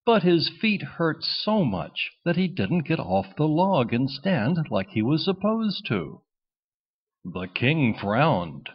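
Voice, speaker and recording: A man reading a children's storybook as narrator in an expressive, scripted style. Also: a little high, slow pace, husky, lo-fi sound.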